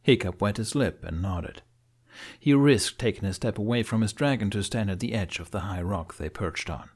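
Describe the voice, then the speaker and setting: Low-voiced male audiobook narrator. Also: normal speed, darkish tone, slightly rough. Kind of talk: dramatic scripted storytelling.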